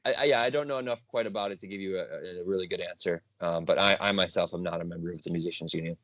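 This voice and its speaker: Low-voiced man chatting dryly. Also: very fast, fairly bright tone, no echo. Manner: rambling, laughs easily, self-correcting. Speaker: guest composer. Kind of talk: casual interview chat.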